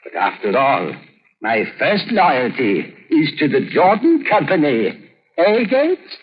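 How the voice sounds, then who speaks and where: An actor playing a scheming, smooth-talking laboratory doctor with an oily, theatrical, insinuating manner in a 1930s radio drama. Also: male voice, fairly high pitch, very animated, takes it slowly. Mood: smug, falsely regretful. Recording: muffled, crackly 1930s radio recording.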